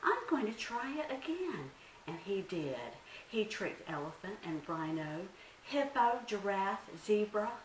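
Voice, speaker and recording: A woman telling a story to young children as a librarian at storytime, with a dramatic, expressive delivery. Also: medium pitch. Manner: dramatic, asks listeners questions. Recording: roomy, distant mic, faint hiss.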